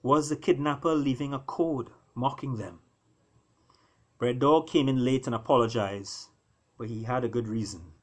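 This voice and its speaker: A man's voice: mid-range voice, moderate pace. Man reading an animal fable with drama. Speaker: author reading own work. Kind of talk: expressive storytelling narration of animal fable.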